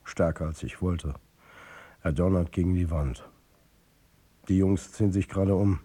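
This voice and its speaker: Radio drama lead: embattled coach as narrator, dark husky timbre, terse and bitter delivery. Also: male voice, low-pitched, a little slow. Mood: wry, tense. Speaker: first-person narrator.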